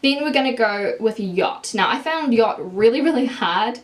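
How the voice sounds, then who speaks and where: Chatty young New Zealand woman, bright. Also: a little high, lively intonation, moderate pace. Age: young adult. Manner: chatty, explains product swatches while holding up palettes. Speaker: beauty vlogger. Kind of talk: casual makeup review to camera. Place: indoors, small room with plain wall.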